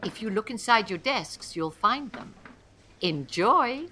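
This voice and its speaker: An indulgent schoolteacher played by a woman addresses her young class in a radio play. Her speech is slow and measured, with a lively rise and fall. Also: on the high side, a little hiss or hum, exaggerated voice.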